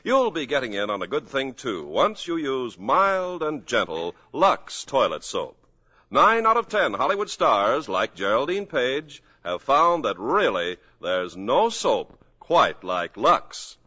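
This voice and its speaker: A man works as a 1950s radio announcer in scripted, chatty sponsor style. His voice is bright and swings expressively. The recording is dull and vintage. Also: medium pitch, sing-song, takes it slowly.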